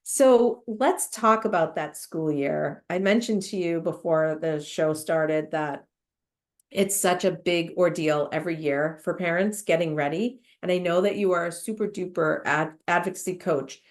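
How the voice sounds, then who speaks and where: A woman's voice: fairly low pitch, unhurried. A woman hosting a podcast in an effusive, enthusiastic way, sounding nasal from a cold. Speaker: podcast host. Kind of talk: podcast introduction and interview.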